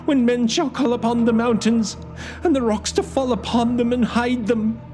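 A man voicing a frantic, half-mad male curate who wails and shouts in a high voice. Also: fairly even intonation, moderate pace. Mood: raving. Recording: music playing behind.

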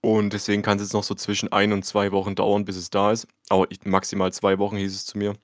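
A man with a somewhat low voice chats casually on a podcast, telling everyday stories at length and arguing over small details. The recording is dry and close, with no room sound. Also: monotone, quick pace, dry recording. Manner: chatty, rambling storyteller, argues details. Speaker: podcast co-host. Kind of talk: casual chat.

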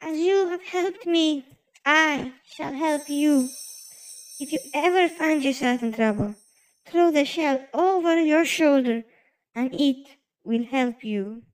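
Children's play creature: a woman as a magical fish, high voice, unhurried, earnest. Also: poor audio. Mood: grateful, solemn. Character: pleading, grateful magical fish. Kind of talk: children's radio play acting.

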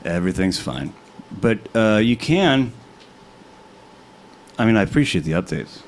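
A man co-hosting a comedy podcast, crude and sarcastic, swinging from tired mumbling to loud rants. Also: on the low side, big pitch swings, a little slow, a little hiss or hum. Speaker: podcast co-host. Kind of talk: casual comedic chat.

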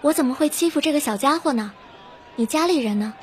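A woman's voice: high voice, lively intonation, quick pace, slight background noise. A woman playing a kindly, protective widow with a firm, caring manner in an audio drama. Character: protective, kindly widow rescuing a street child. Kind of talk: Chinese audio drama acting.

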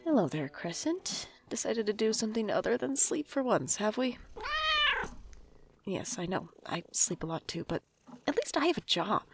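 A woman's voice: mid-range voice, very animated, moderate pace, soft background music. A woman acting as a sardonic, stubborn older sibling in a naturalistic audio drama, with a dry, sarcastic delivery. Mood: playful, teasing. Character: sardonic, guarded older sibling, stubborn and bitter about parents.

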